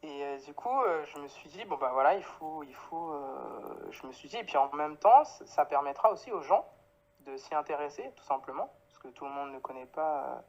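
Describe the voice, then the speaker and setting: A woman's voice: deep voice, animated, normal speed, lo-fi sound. A woman from Paris, answering casually in an interview, feeling her way through sentences with frequent self-corrections. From Paris, France, of Guadeloupean family. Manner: hesitant, self-correcting, informal, trailing phrases. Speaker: interview guest, founder of a clothing brand.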